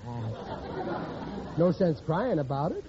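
Flustered, coaxing American family man, animated pitch, vintage radio comedy with audience noise. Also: extremely slow, crowd noise, exaggerated voice. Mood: pleading. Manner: pleading, stammering, coaxing. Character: soft-hearted, flustered working-class married man consoling his sister. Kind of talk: 1940s-50s radio comedy acting. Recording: dull, noisy old radio recording with audience.

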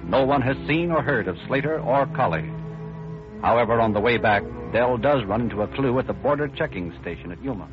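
A man's voice: medium pitch, animated, fairly quick, natural voice. A man narrating an old-time radio crime drama in a serious, scripted manner. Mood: brisk, serious. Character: brisk, solemn male crime-story narrator. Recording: muffled old radio recording. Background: dramatic music.

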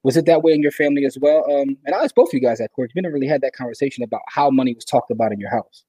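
Guy host rattling on fast, kinda husky dark voice, dry sound. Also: mid-range voice, dry recording, poor audio. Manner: fast, chatty, lots of "like" and "you know". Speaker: podcast host. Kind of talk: casual interview chat.

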